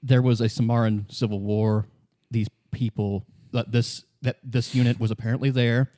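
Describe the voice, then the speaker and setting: A man with a medium-pitched voice runs a tabletop roleplaying game as its game master. He narrates and explains the setting, recorded close with hardly any room sound. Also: little pitch movement, normal speed, mellow, no echo.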